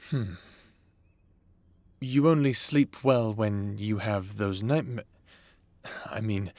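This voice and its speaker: A man's voice: mid-range voice, a little mellow, dry recording. Man playing a formal therapist, very dry sound. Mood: puzzled, concerned. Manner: measured, clinical, formal diction. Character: patient, formal male government therapist. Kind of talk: cinematic audio roleplay acting, addressing the listener. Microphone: close, dry studio mic.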